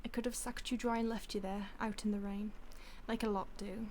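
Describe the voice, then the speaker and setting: A woman voicing a polite, predatory female vampire who toys with her captive in soft, often whispered, mock-polite speech. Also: medium pitch, level tone, brisk, slight background noise, natural voice. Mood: menacing relish. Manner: teasing, mock-polite, savoring. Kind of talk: audio roleplay.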